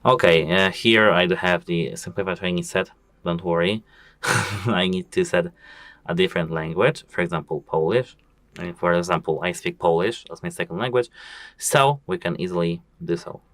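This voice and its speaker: A man with a very low voice hosts a casual how-to video. He walks through each setting step by step and keeps pointing things out with "as you can see". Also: normal speed, a bit bright, no room sound. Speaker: tech tutorial host. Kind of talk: casual phone how-to walkthrough.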